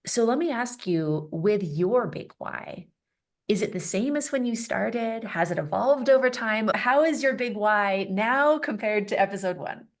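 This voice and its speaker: A woman's voice: a little high, animated, unhurried. A woman hosting a podcast interview, encouraging her guest and asking follow-up questions. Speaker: podcast host. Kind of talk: interview conversation.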